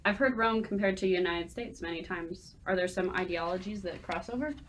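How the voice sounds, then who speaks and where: A young woman from Idaho, a student guest, asking prepared questions in a plain and steady way. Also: lowish voice, a little fast, a little hiss or hum. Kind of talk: podcast questions.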